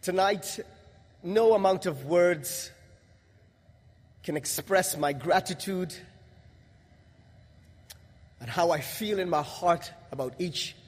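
A young man from Guyana giving a religious testimony to an audience, in a measured, emphatic delivery with pauses. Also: sits high, breathy edge. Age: young adult. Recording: long hall reverb.

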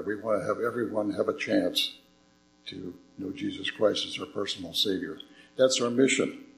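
Older man, earnest church speaker, slightly hoarse, low and gravelly, roomy echo. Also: slight background noise. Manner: earnest, folksy storytelling. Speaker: visiting ministry speaker. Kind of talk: church appeal talk. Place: church sanctuary.

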